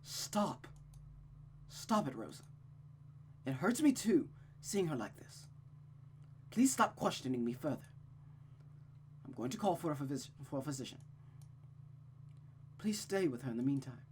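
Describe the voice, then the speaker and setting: A woman's voice: rumbling low, very animated, a little slow, long pauses, a little mellow, slight background noise, exaggerated voice. A woman voicing a stoic, weary nobleman with a subdued, evasive manner in a visual novel read-aloud. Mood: pained, weary.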